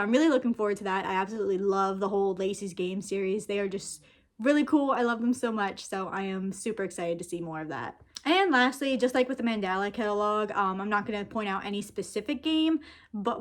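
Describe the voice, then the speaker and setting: A young adult woman hosting a casual, rambling vlog, talking informally with lots of slang and filler words. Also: mid-range voice. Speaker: video host. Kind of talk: casual chatty rant-style vlog.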